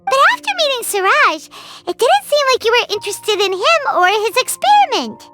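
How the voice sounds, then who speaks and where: Casting: a shrill, bouncy little fairy sidekick who talks about herself in third person, over game music. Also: female voice, sing-song, moderate pace. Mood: excitable. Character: tiny hovering fairy companion, chatty, excitable. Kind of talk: game cutscene voice acting.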